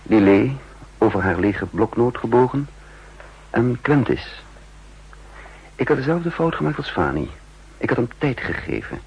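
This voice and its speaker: An actor playing a composed double agent who narrates his own story in a measured, introspective way, in a 1960s radio drama. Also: medium pitch, big pitch swings, darkish tone, slightly rough, exaggerated voice. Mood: grave, controlled. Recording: muffled old 1960s radio recording.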